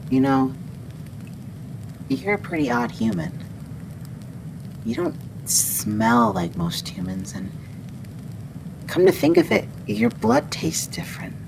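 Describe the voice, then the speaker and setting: Gravelly female wendigo, acted by a woman, friendly but skittish, swooping pitch, hesitant ASMR roleplay delivery, noisy recording. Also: very animated, full character voice. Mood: awkward, grateful. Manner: hesitant, halting, hushing. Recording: clearly noisy background.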